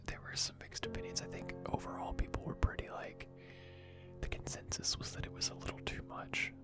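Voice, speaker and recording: A person whispering casual, rambling ASMR gaming commentary in a hushed, chatty way, close to the microphone. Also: medium pitch. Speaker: video game streamer. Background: music.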